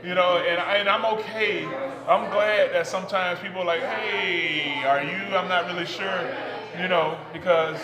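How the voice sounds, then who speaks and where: Relaxed fifty-year-old man, higher voice, echoey room. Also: unhurried, over a noisy crowd. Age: 50s. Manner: relaxed, rambling, with frequent fillers and laughs. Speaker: podcast co-host, former offensive lineman. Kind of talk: casual sports chat.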